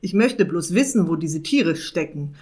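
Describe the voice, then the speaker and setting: A woman voicing an irritable, scheming male wizard with a gruff, impatient manner in a storybook reading. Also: low-pitched, lively intonation, normal speed, mellow.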